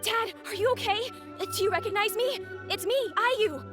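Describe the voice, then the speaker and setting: A woman's voice: very high-pitched, normal speed. A woman playing an anxious, caring young daughter in a video game, earnest and pleading. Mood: worried. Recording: music behind the voice.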